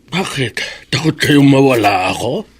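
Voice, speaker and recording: A man playing a frail, gentle elderly Filipino father and grandfather in a radio drama. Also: mid-range voice, lively intonation, measured pace, husky. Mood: tender, wistful.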